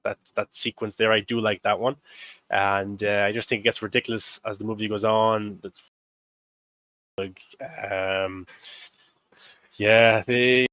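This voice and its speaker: A man's voice: on the low side, level tone, a little slow, long pauses, fairly bright tone, dry recording. A young man in his twenties hosting a casual film discussion, rambling through facts with lots of fillers and little laughs. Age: 20s. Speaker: host.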